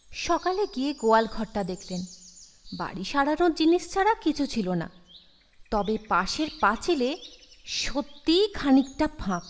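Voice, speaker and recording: A woman's voice: a little high, slight background noise. A woman reading a short story aloud as a scripted audio narration. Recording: long reverb over the voice. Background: background music.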